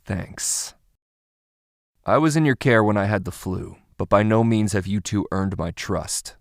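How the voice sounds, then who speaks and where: A man playing a guarded, sullen young kidnapper in an anime dub, speaking in a flat, blunt, weary way. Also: lowish voice, measured pace, no echo, clean studio sound. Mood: sullen, irritated. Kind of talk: anime fan dub acting.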